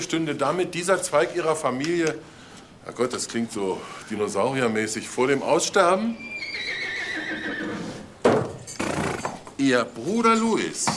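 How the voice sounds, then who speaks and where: Man playing a wry detective, lively pitch. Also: noisy background, natural voice. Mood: probing, wry. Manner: dry, polite, probing. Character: wry, persistent male police detective. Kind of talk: German TV crime drama acting.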